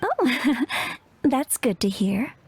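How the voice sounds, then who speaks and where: A woman's voice: high voice, very animated, very slow, a little hiss or hum, heavily acted. An actress playing a friendly, easygoing female townsperson in a video game, conversational and obliging.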